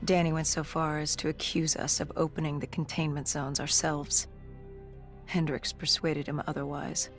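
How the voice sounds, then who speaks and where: An actor playing an augmented soldier in a video game, haunted and resolute, recounting past missions in grave, measured storytelling. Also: medium pitch, little pitch movement, lightly acted. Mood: brooding, suspicious. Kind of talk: dramatic game cutscene narration. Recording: music under the voice. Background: music.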